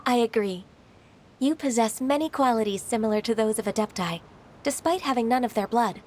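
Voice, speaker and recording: Woman voicing a gentle, higher-pitched immortal maiden. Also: brisk, a little hiss or hum, lightly acted. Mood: earnest, reserved. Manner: soft, earnest, polite. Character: gentle, reserved young half-human immortal woman. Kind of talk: video game character acting.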